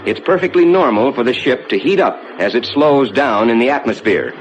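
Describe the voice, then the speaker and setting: An American man playing a rocket ship captain, narrating a scripted 1950s ride to his passengers in a measured, reassuring, explanatory way. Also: animated, natural voice. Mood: calm, reassuring. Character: assured male rocket ship captain guiding passengers through space. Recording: dull 1950s soundtrack with loud rumbling noise.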